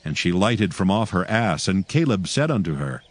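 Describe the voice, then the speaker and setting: A man's voice: low-pitched, big pitch swings, moderate pace. A man narrating scripture from a script in a solemn, dramatic storytelling manner.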